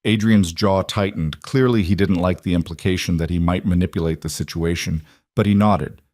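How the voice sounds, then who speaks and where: A man's voice: deep voice, steady tone, normal speed, a little mellow, no room sound. A man reading scripted dramatic romance fiction as an audiobook narrator.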